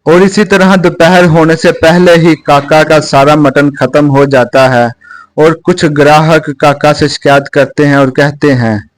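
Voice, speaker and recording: A man's voice: fairly high pitch, steady tone, unhurried, no echo, soft background music. A man narrating a scripted moral story as a storyteller.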